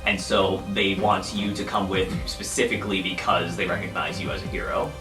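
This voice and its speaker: A man's voice: sits low, level tone, a little fast. Man game master narrating in roomy sound. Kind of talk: improvised tabletop game narration. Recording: roomy, distant mic, music underneath.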